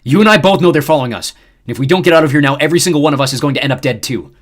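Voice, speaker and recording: A man's voice: fairly high pitch, very animated, quick pace, bright, forceful tone, pitched above the performer's own voice, full character voice. A man voicing a stern male squad leader who barks orders and growls commands.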